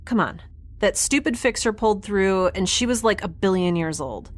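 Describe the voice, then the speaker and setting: Quirky young woman scientist played by an actress, rambling, earnest, very lively intonation, sci-fi audio drama, faint background noise. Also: mid-range voice, moderate pace, close to an everyday voice. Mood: determined, babbling. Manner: rambling, babbling, animated, earnest.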